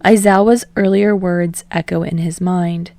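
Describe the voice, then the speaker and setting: A woman's voice: fairly low pitch, fairly even intonation, unhurried, creaky, warm tone, dry recording. A woman reading scripted fiction narration as an audiobook narrator.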